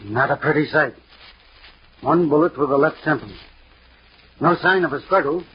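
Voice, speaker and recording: An actor playing a cool, methodical police inspector in an old-time radio mystery, speaking formally and matter-of-factly with a husky voice. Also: male voice, a little high, normal speed, a little hiss or hum, lightly acted. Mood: businesslike. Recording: dull vintage radio recording.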